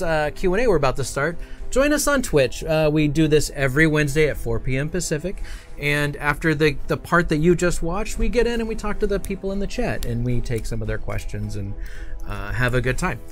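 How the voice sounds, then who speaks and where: Male stream host, slightly high voice, friendly and informal chat, light music underneath. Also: expressive, fairly quick. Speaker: livestream show host. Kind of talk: casual chat and hosting.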